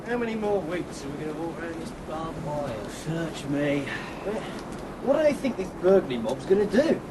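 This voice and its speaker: Casting: tired bobby, grumbly yet polite, high-pitched and lively, heavy background noise. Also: male voice, normal speed. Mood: grumbling. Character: weary, grumbling policeman on night patrol. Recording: loud background noise and ambience.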